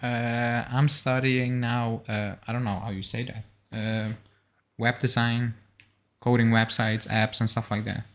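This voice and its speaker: Young male co-host, mid-pitched everyday voice, informal sports livestream chat, reads out comments and reacts. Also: level tone, unhurried. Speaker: co-host of a football fan live show. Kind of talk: casual live chat, reading out and reacting to viewer comments.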